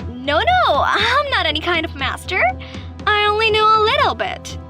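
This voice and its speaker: Woman voicing a timid, very high-pitched schoolgirl. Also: big pitch swings, normal speed, bright, forceful tone, faint music behind, full character voice. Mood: flustered, concerned. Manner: hesitant, faltering. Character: shy, timid high school girl, anxious peacemaker. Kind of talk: animated story dialogue.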